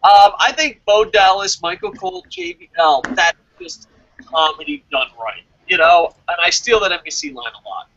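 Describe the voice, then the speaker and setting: A man's voice: high-pitched, big pitch swings, normal speed, gravelly, a bit bright, highs cut off. A man chatting casually as a review show host, opinionated and emphatic, swearing freely and rambling as he goes.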